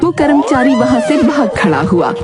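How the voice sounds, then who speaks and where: A woman narrating a children's cartoon story in an even storytelling manner. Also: high voice, normal speed, over loud music.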